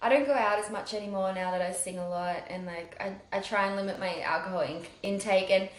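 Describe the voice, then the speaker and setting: A woman's voice: mid-range voice, fairly even intonation. A young woman hosting a podcast, chatting casually in a bubbly, sympathetic way. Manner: bubbly, sympathetic, quick to laugh. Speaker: podcast host. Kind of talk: casual interview chat. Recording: roomy echo.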